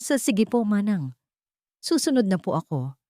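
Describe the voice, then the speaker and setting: A woman's voice: mid-range voice, sing-song, normal speed, dark tone, no room sound, clean studio sound, pitched above the performer's own voice, natural voice. A woman voicing a timid, respectful young servant woman in a story drama, polite and restrained. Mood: fearful obedience.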